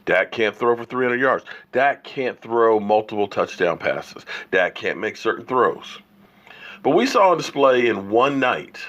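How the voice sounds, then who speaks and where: A man's voice: mid-range voice, fairly bright tone, highs cut off. Emphatic man with a cold on a livestream. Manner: emphatic, argumentative, rhetorical questions. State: has a cold. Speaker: sports show host. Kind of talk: livestream sports opinion talk.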